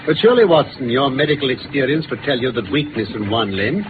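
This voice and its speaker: A man with a British accent playing a brisk, incisive gentleman detective in a 1950s radio drama, articulate and theatrical as he lays out facts. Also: mid-range voice, big pitch swings, lightly acted. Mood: confident, explaining. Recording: noisy, phone-like old radio recording.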